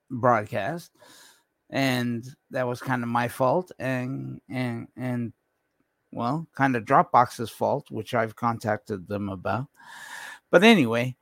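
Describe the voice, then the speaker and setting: A man hosting a radio show with casual, unscripted commentary, halting and often trailing off. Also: mid-range voice, no room sound.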